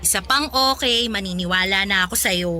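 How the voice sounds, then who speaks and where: A woman playing a blunt, teasing young female best friend in a radio romance drama, with an animated manner. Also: medium pitch, a little fast, a little hiss or hum, natural voice.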